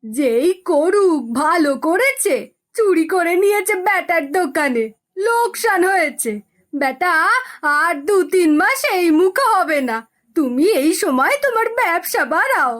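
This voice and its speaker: A woman voicing a spiteful village shopkeeper's wife who eggs her husband on, in an animated moral tale. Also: extremely high pitch, unhurried, heavily acted. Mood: gloating.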